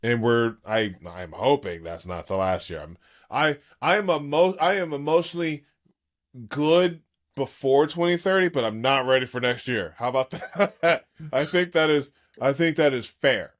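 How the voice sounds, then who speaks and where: Man, thirties, podcast co-host, casual fan chat, animated enthusiast, medium pitch. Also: normal speed. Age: 30s.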